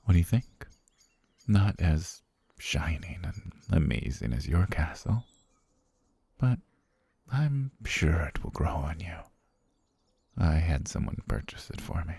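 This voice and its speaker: A very deep, gravelly, breathy voice from an obsessive male butler, as a man acts him out, hushed and half-whispered right against the mic. He speaks slowly and gently, with a menacing calm. The sound is dry and close. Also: dry recording, heavily acted. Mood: soothing possessive. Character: obsessive soft-spoken male butler kidnapper. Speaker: voice actor. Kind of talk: ASMR roleplay.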